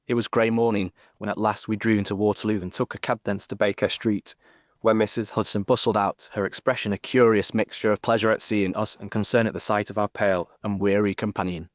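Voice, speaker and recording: British man, lowish voice, formal literary narration, measured, Victorian mystery audiobook, very dry sound. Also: little pitch movement, dry recording.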